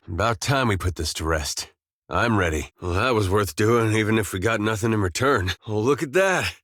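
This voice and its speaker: A man's voice: lowish voice, fairly bright tone, no echo. A man playing an easygoing, loyal farm-boy soldier in a video game, with an American accent and a folksy, plainspoken, wry manner. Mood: wry, lighthearted. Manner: folksy, laid-back drawl, dry humor. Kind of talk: scripted video game dialogue.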